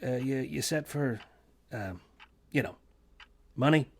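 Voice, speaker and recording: An actor reading a gentle, good-natured working man in his sixties for a radio play, talking hesitantly with many fillers. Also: medium pitch, slow, slight background noise. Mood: wistful. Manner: hesitant, rambling, full of fillers.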